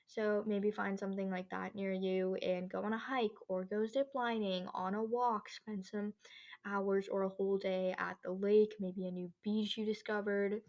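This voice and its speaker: Casting: teen female podcast host, average pitch, informal and chatty, wandering off on slangy tangents. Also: darkish tone. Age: teenager. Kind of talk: casual solo podcast chat. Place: own bedroom.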